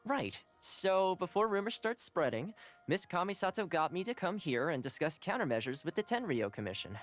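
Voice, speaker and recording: An actor playing a calm, reassuring young male envoy. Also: high-pitched, moderate pace, faint music behind. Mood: concerned. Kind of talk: game cutscene dialogue.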